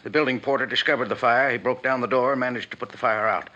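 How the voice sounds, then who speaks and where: A man performs an anxious, earnest detective agency head in an old radio drama. The voice is tense and forceful, with careful, deliberate wording. It comes through a dull 1950s broadcast recording. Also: medium pitch, steady tone, lightly acted. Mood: grave, earnest.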